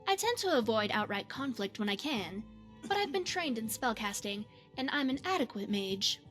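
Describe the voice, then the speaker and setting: A woman voicing a poised, secretive retired spy and mage in a fantasy game, speaking in a measured, formal, coy way. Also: a little high, sing-song, fairly bright tone, faint music behind. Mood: sly, composed. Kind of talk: scripted fantasy game character dialogue.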